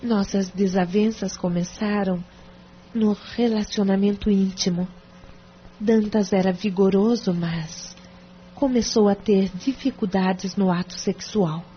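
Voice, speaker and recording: Muffled noisy audio drama, Brazilian actress playing a married woman, reserved, embarrassed, hesitant, confiding, medium pitch. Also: steady tone, unhurried, lightly acted. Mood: confiding, sorrowful. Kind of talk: audio drama acting in Portuguese. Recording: dull lo-fi audio with clear noise.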